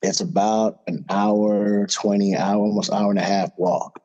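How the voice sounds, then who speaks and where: A middle-aged man from Florida talks through his past in an easygoing podcast chat. His voice sits low and mellow, and he leans on fillers as he goes. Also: a little slow, dull audio. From Florida, USA. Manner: relaxed storytelling with fillers. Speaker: head wrestling coach, guest. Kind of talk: casual interview talk.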